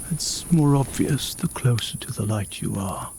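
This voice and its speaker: A man playing a gentle, absent-minded mage in a fantasy ASMR roleplay, soft-spoken and unhurried, sometimes muttering. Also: fairly low pitch, sing-song, slight background noise. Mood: gentle, reassuring. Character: gentle, absent-minded male mage, hospitable forest dream keeper.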